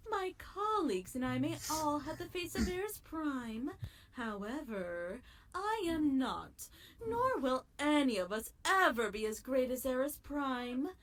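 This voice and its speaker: A woman playing a chipper, theatrical and sweetly polite female ruler in an animated audio drama. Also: high-pitched, measured pace, a little hiss or hum, full character voice, poor audio. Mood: polite, helpful. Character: chipper, gushing female ruler of chaos city and her clones.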